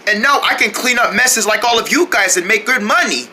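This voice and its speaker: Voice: medium pitch, animated, fairly quick, pushed and bright, slight background noise. Someone voicing an eager young parrot. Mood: earnest. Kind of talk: homemade pretend play story.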